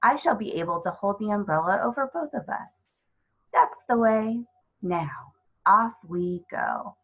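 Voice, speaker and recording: A woman voicing a polite, melancholy male faun, gentle and hospitable, with courteous old-fashioned phrasing, in a storybook reading. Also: mid-range voice, big pitch swings, unhurried, breathy edge, narrow, tinny audio, exaggerated voice.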